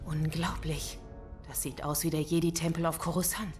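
A practical young starship pilot acted by a woman with a slightly low voice. She is alert and matter-of-fact, in dramatic game style, with clear music underneath. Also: steady tone, normal speed, close to an everyday voice. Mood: focused. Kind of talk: game dub.

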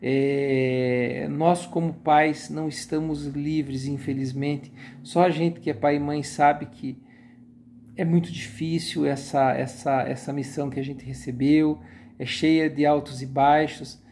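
A man in his forties with a Brazilian accent, sitting on a sofa and talking warmly and supportively into his phone in a casual live stream. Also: fairly high pitch, fairly even intonation, unhurried, slight background noise. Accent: Brazilian Portuguese. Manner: warm supportive, many filler tags. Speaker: YouTube channel host and moderator. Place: living room sofa, indoors. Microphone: phone camera held close.

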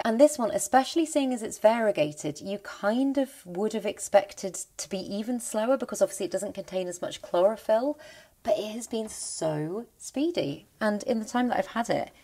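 A woman giving a chatty, enthusiastic tour of her plant collection at home, her voice a little croaky. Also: medium pitch, dark tone. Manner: chatty, enthusiastic, rambling with restarts. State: voice slightly croaky. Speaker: plant vlogger. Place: indoor plant cabinet at home.

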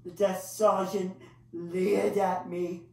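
A woman performing a dramatic monologue as a grandiloquent old English male clown, pausing for effect as he tells his bittersweet tale. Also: on the low side, flat delivery, gravelly. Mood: somber reminiscence. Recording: echoey room, distant microphone.

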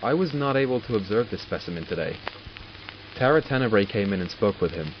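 A man's voice: lowish voice, animated, brisk, natural voice. Man playing an exasperated doctor, muffled noisy recording. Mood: clinical, detached. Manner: clinical, sardonic, self-talking. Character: arrogant, exasperated male doctor studying supernatural captives. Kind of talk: audio drama acting. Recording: muffled, with loud background noise.

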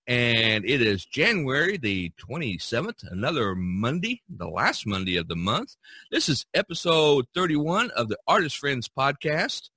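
A man's voice: mid-range voice, bright, forceful tone, breathy edge, no room sound. A man hosting a podcast, making introductions to listeners and co-hosts. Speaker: podcast host.

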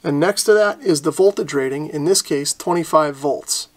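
Higher-pitched American man explaining a repair. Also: moderate pace. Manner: casual, explanatory. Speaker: host of a radio repair channel. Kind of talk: instructional narration of an electronics repair.

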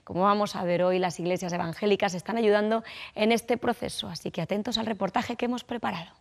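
A female TV presenter introduces the report from a studio set. She reads smoothly at a mid pitch. It is a clear studio recording. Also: fairly even intonation, fast talker.

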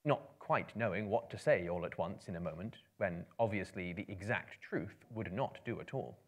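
A man in his thirties reads a fantasy story aloud as a live performance. His voice sits fairly low, and he phrases theatrically, with measured pauses and weighted emphasis. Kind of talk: dramatic book reading to a live audience. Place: room lined with books, indoors.

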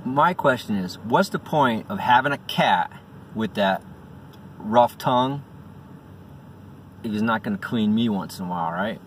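A man's voice: fairly low pitch, expressive, measured pace, pauses often. A middle-aged man talking casually and bluntly as an amateur comedian, with profane asides. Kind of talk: casual vlog with stand-up bits. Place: inside a parked vehicle. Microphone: phone or camera at arm's length. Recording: in-car, distant mic, faint noise.

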